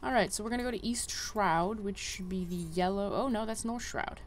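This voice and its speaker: A woman's voice: medium pitch, normal speed, a little hiss or hum. A woman giving casual, chatty game walkthrough commentary, thinking aloud as she plays. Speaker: gaming video host.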